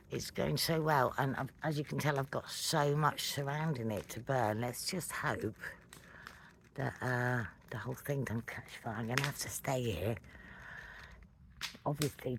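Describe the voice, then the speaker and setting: Low-key late-night rambler over background noise. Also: measured pace, vocal fry. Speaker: vlogger telling a personal story. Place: outdoors at night beside a fire.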